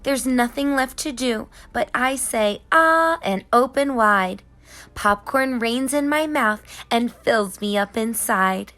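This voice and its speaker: A woman reading as a busy, whimsical, gently scolding tooth fairy in a children's storybook, in rhyme. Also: on the high side, slow pace. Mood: excited. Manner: sing-song rhyming delivery. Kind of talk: children's storybook narration in character.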